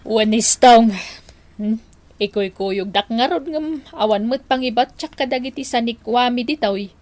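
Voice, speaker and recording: A woman acting a plain-spoken middle-aged mother in an Ilocano radio drama, drawn-out and sighing. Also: on the high side, a little mellow, natural voice. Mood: wry, contented. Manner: drawn-out, full of sighs and hums.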